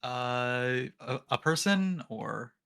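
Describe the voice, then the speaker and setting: A man chatting in a tabletop game session, wry and quick to make fun of himself. His voice has a heavy gravelly fry. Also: medium pitch, lively intonation, fairly bright tone. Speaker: player. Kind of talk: casual tabletop game chat.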